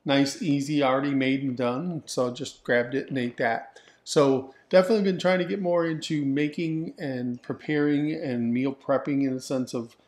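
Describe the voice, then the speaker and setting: A man's voice: medium pitch, normal speed, few pauses, a little mellow. Guy in his 40s, laid-back and rambly, kidding around on the couch. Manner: easygoing, rambling and joking. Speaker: vlog host. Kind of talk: casual chat. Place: living room, on a couch. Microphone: camera in front.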